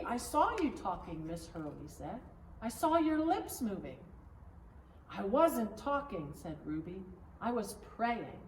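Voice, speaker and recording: A woman reading a children's story aloud in a measured, expressive storytelling manner, recorded at a distance in an echoing room. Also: mid-range voice. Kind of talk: children's picture-book read-aloud. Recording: roomy echo, distant mic, background noise.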